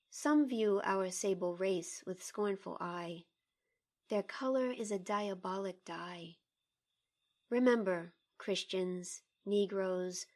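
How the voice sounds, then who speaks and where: A woman co-hosting a literary discussion, speaking in a deliberate, articulate, emphatic way. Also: medium pitch. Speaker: podcast co-host. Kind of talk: literary discussion and poetry reading.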